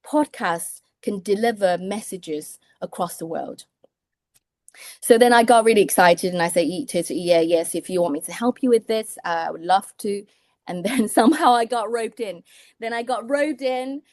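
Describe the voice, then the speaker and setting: A woman's voice: mid-range voice, normal speed, a little mellow, dry recording, studio quality. A woman in her thirties from Taiwan, a native Mandarin speaker with a British English accent, talking as a guest in an interview with many restarts and fillers. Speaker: surgeon, guest. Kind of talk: interview conversation.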